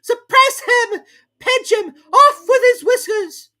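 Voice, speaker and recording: A woman's voice: extremely high pitch, slow, bright, forceful tone, voice pushed up, exaggerated voice. A woman voicing an imperious, furious storybook queen, shrieking her orders. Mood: shrieking fury.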